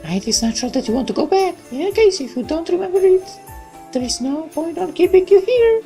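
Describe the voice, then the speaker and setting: A man voicing a gentle, wistful young woman from a dream in a visual novel, speaking softly and kindly. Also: extremely high pitch, very animated, brisk, soft background music, voice pushed up, full character voice. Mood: gentle resignation.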